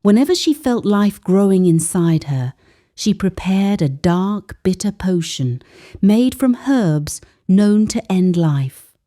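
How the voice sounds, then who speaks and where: A woman's voice: medium pitch, animated, slow pace, warm tone. A woman narrating a folktale as a dramatic, scripted storyteller.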